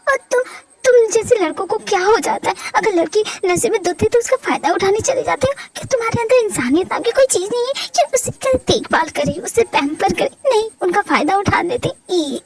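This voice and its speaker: A high, bright-pitched young woman, fiery and wounded, firing off heated accusations in a storybook reading voiced by a woman. Her lines come in rapid, pleading bursts.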